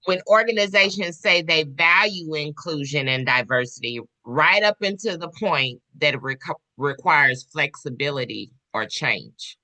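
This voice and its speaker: Slow, measured woman with a bright tone. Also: low-pitched, rough recording. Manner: measured, poses questions and tells stories from work. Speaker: podcast panelist. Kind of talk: casual discussion.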